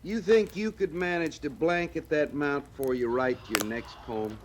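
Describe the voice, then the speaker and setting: An actor playing a dry, wry cavalry sergeant, laconic and folksy, with a rural western American accent in old radio drama style. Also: male voice, a little high, normal speed, noisy background, lightly acted. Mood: gruff, brisk.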